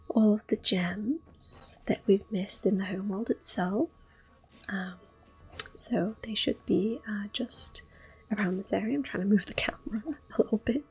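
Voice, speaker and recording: A woman giving gentle, unhurried ASMR game commentary in a soft, breathy voice that often drops to a whisper. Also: medium pitch, poor audio. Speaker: gameplay host. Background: video game music.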